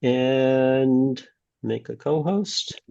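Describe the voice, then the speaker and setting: A man hosting an online meeting, talking in a halting way with pauses. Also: mid-range voice, vocal fry, darkish tone, no echo. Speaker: meeting host. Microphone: video call. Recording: muffled video-call audio.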